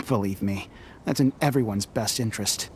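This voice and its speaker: A man's voice: lowish voice, moderate pace, darkish tone, slight background noise, close to an everyday voice. Man voicing a dry, wry fantasy game character. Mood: dry amusement. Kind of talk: video game voice acting.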